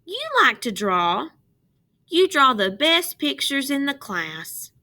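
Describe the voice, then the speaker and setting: A woman's voice: sits high, sing-song, takes it slowly, pushed and bright, pitched above the performer's own voice, exaggerated voice. A woman voicing an earnest, appreciative young schoolboy in a storybook, speaking with lifted emphasis. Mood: concerned, admiring. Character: young schoolboy about to move away, wistful, appreciative. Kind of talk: storybook read-aloud.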